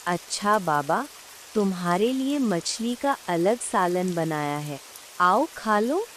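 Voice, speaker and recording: Cartoon mother-in-law: proud, caring village cook, expressive pitch, rain and hiss behind. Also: slow, rough recording. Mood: accommodating. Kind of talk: dramatised cartoon story dialogue. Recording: faint hiss with rain sounds.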